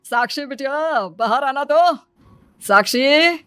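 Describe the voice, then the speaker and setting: Woman playing a gentle, aging, devoted father. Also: high-pitched, slow pace, no room sound, soft background music, voice pushed up. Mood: humble, earnest. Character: loving, self-sacrificing aging widowed father. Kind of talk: dramatized cartoon moral story.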